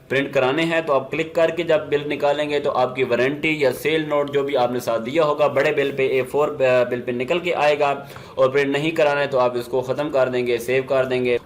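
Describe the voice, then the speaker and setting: A man with a Pakistani accent narrates a software tutorial in an explanatory way, at a medium pitch. English business terms are mixed into his speech. There is some noise in the recording behind his voice. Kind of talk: instructional software demo narration.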